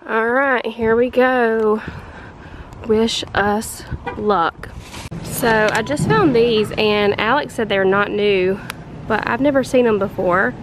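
A woman, chatting excitedly to viewers in a casual haul vlog. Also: fairly high pitch, slow pace, a little hiss or hum, poor audio. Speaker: vlogger. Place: home kitchen and store aisles.

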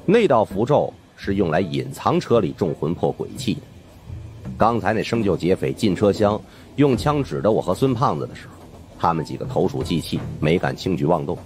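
Male audiobook narrator, deep register, lively swings in pitch, colloquial first-person storytelling. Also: normal speed, faint music behind.

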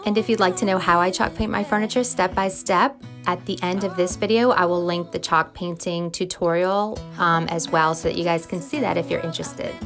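American woman, middle-aged, slightly low voice, friendly encouraging narration, confiding, clear music bed. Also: steady tone, fairly quick, hardly any pauses, fairly bright tone. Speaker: home and cleaning vlogger. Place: voice-over recorded in a closet at home. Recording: music playing under the voice. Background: background music.

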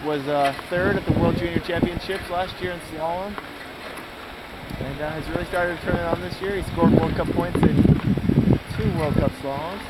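A man's voice: fairly high pitch, steady tone, normal speed. An eager young man calls a ski race as a fan commentator, reeling off facts and cheering skiers on. He is recorded outdoors on a camcorder, with heavy background noise. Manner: eager, informative, cheering out loud. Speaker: forerunner doing impromptu commentary. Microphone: camcorder mic nearby.

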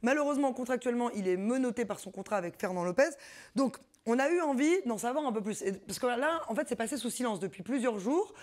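A woman's voice: on the high side, expressive, fast talker, darkish tone. Woman hosting a sports TV show. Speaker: show host. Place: TV studio.

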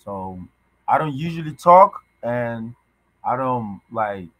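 A young man born in Ghana, chatting casually as a podcast guest over a video call from a small room, halting and full of restarts. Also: mid-range voice, expressive, fairly bright tone, no room sound. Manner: halting, many restarts and fillers, loose slangy talk. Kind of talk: casual conversation. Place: indoors, small room at home.

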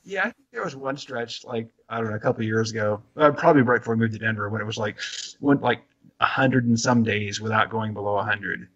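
Fast talking american guy in his 50s, bright forceful voice, casual podcast guest, bit lo-fi call. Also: on the low side. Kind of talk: casual chat with swearing. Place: home room, on a video call. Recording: slightly dull video call sound.

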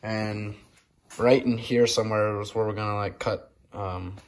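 A young man with a slightly low voice walks through a woodworking build in a cold shop shed. He talks in an easygoing, loose way, full of "um" and "like". It sounds like a handheld phone recording. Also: a little slow, a little hiss or hum. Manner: relaxed, filler-heavy explaining. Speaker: DIY video co-host. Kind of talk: casual how-to narration.

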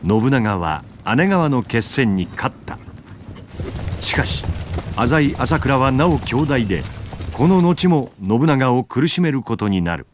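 A man narrating a historical game story in a solemn, deliberate scripted style. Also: medium pitch, sing-song, noisy background.

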